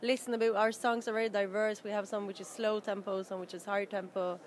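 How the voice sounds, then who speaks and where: A woman's voice: medium pitch, little pitch movement, fast. A woman from Norway, a band member, chatting loosely in an interview and laughing often. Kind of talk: casual interview chat. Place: busy festival venue. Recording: background noise.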